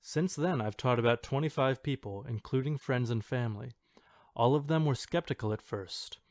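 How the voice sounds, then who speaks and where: A man's voice: medium pitch, moderate pace, a little mellow, no room sound, lightly acted. Man reading as an earnest, self-assured paranormal boaster, dry sound. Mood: earnest, confident. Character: self-assured forum poster boasting of telekinetic powers. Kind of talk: dramatic reading of an online post.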